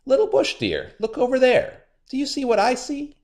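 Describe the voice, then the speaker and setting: A sly storybook trickster, acted out by a man, speaks far up in a high register. The delivery swoops about with exaggerated, wheedling insistence, like a schemer pushing someone along. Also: sing-song, normal speed, a little mellow. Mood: coaxing. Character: sly, lazy trickster spider, falsely friendly, easily irritated.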